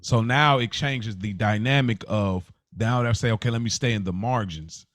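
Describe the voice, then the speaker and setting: A man's voice: lowish voice, moderate pace, no room sound. A man co-hosting a podcast in casual conversation, explaining his thoughts at length in a reflective way. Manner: reflective, explains at length with "like" and "you know what I mean". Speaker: podcast co-host.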